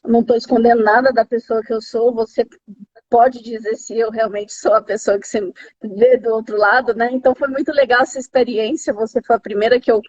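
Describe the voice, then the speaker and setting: Woman, São Paulo Brazilian accent, live-chat host, conversational, relaxed warm tone, dull lo-fi sound. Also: on the high side, brisk, husky. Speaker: host of a live interview series. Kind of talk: casual live chat, interviewing a friend. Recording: slightly dull lo-fi live-stream audio.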